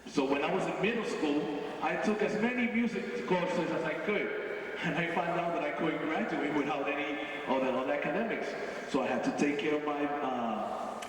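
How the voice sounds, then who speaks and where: A man from Boston giving an earnest motivational speech to students at a school, slowing down and repeating his key lines. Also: a little high, a little hiss or hum. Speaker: guest speaker at a school. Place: school hall or gym. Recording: echoey hall, voice from far off.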